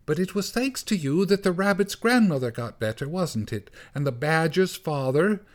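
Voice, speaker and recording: A tiny, fretful mother field mouse with a high, wavering voice, pleading and fussing politely over her sick child, as a man reading a storybook puts her on. She speaks in a pitched-up squeak well above the reader's own voice, anxious and very proper. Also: lively intonation, normal speed, close to an everyday voice.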